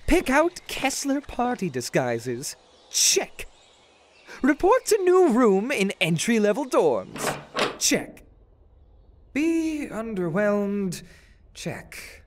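A man's voice: high voice, huge pitch swings, very slow, a little hiss or hum, exaggerated voice. A man voicing a flippant, flamboyant wizard with a drawling, sardonic delivery in a comic dub. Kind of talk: scripted cartoon acting.

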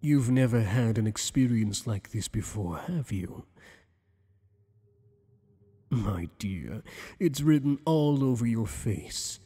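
A man's voice: medium pitch, animated, warm tone, breathy edge, long reverb tail. A man voicing a teasing, possessive monster boss. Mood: flirtatious. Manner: teasing, flirtatious, slow and intimate. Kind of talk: ASMR roleplay.

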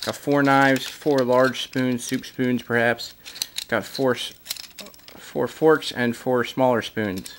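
A man's voice: mid-range voice, fairly even intonation, slow, slight background noise. A man giving a casual product walkthrough as a gear reviewer, filler-heavy, recorded indoors on a camera mic. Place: indoors, camera over a carpeted floor.

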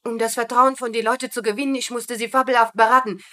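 Resolute female agent, slightly high voice, dubbed series. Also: flat delivery, quick pace, sharp tone. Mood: urgent, insistent. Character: determined, proud French woman scientist and secret agent. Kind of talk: dubbed TV acting.

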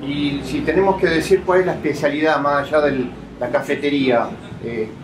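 A man, an interviewer asking friendly, steady questions. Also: fairly high pitch, normal speed. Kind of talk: casual interview. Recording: echoey room with background noise.

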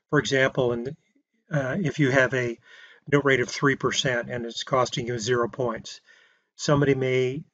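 A man's voice: medium pitch, fairly bright tone, no echo, highs cut off. A man lecturing on home loans over slides in a plain explanatory way, often restarting his sentences. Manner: plain explanatory delivery with restarts and filler words. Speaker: real estate instructor.

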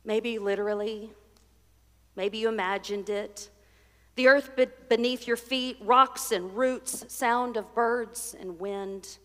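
A woman in her forties preaching at a church pulpit, conversational and playful, then soft and reflective. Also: a little high, steady tone, slow. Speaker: pastor. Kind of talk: sermon. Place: church sanctuary, indoors. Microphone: stand mic at a pulpit. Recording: long church reverb.

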